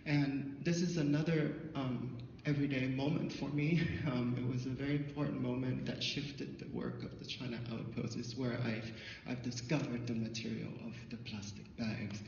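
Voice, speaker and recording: A middle-aged man whose first language is Thai gives a symposium talk through a handheld mic, recorded from far back in a large hall. The sound is roomy with a long reverb tail. The audio is dull and muffled, with a faint hiss underneath, and he speaks haltingly, restarting often. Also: medium pitch, fairly even intonation, creaky, darkish tone. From Thailand. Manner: halting, many fillers and restarts. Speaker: artist presenter. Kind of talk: symposium talk, personal storytelling. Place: dim hall with projector screen. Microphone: handheld microphone, filmed from afar.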